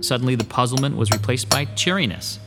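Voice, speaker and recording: A man's voice: medium pitch, fast talker, gravelly, loud music behind the voice, close to an everyday voice. An actor playing an uneasy male artist who narrates his own story in a radio drama, hesitant and polite. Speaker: narrator and lead character.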